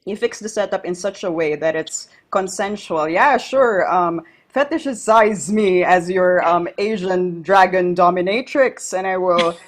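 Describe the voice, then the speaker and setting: A woman speaking as a panelist, choosing her words slowly with many ums. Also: fairly low pitch. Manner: hesitant, many ums, deliberate. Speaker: dominatrix panelist. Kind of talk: casual panel discussion.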